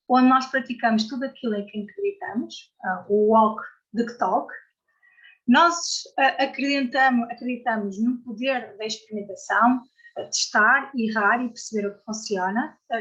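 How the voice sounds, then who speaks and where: A woman's voice: a little high, fairly bright tone, lo-fi sound. A woman hosting an online community session, filling her sentences with hesitant "então" and "ah". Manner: hesitant, many "então" and "ah" fillers. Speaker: community organizer and host. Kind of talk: opening remarks. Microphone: video call.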